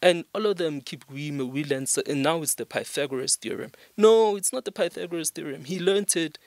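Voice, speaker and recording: A man's voice: a little high, a little fast, darkish tone, no echo. A young man with a South African accent, explaining things earnestly in a podcast conversation and repeating words to drive a point home. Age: young adult. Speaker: podcast guest. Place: small indoor podcast studio. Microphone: microphone on a stand.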